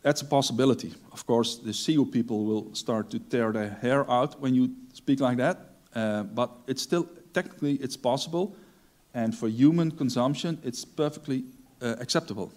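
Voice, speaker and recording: A middle-aged man whose first language is Dutch gives a technical talk on a large conference stage, pausing often with ums and restarting sentences. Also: medium pitch, darkish tone. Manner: hesitant, many ums and restarts. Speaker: conference speaker.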